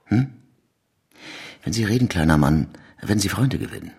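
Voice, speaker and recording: Casting: radio-play detective, male, gravelly and deep, dry irony, used to giving orders. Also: animated, moderate pace, darkish tone, heavily acted. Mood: stern, threatening. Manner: sardonic, curt, commanding. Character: dry, sardonic male police detective, commanding and unhurried.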